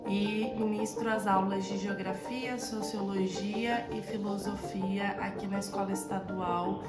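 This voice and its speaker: Woman teacher, steady flat delivery, echoey room, music playing under her. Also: mid-range voice, measured pace. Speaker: public school social sciences teacher. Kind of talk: documentary interview. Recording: roomy echo with music underneath.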